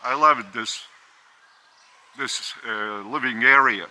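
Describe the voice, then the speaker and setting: Older male spiritual teacher, Lithuanian accent, slow and halting, bright tense tone, outdoors. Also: mid-range voice, slight background noise. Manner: slow, halting, repeats words. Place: outdoors by a school stadium.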